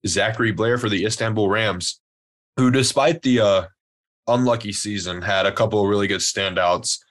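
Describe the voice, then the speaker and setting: A young man from Louisiana, co-hosting a casual sports podcast in a relaxed, rambling way. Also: fairly low pitch, moderate pace. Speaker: podcast co-host.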